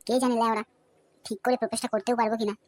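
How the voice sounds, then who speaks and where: A woman's voice: a little high, level tone, rapid-fire, close to an everyday voice. A woman voicing a brash, pushy young male suitor in a comedy cartoon, crude and badgering, with a West Bengal accent. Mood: scheming. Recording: music behind the voice.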